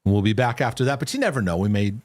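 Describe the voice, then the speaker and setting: A man's voice: on the low side, expressive, rapid-fire, darkish tone, no room sound. Earnest man hosting a live faith Q&A. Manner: earnest, reassuring, lots of restarts. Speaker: host. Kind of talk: live Q&A broadcast, faith-based teaching.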